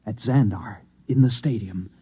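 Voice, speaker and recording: An actor playing a dismal, shaken Martian man, halting and earnest, in an old-time radio drama. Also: lowish voice, dark tone, husky. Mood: haunted, distressed. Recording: dull old radio recording.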